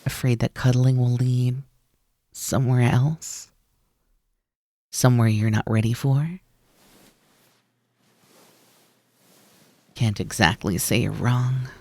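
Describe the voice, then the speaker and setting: Unhurried, teasing snake-demon roommate, acted out by an asmr performer, playful and bossy, really dry close sound. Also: mid-range voice, fairly even intonation, dark tone, dry recording. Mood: playful, coaxing. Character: mischievous, teasing naga snake-demon roommate, coaxing and dominant. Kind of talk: ASMR roleplay.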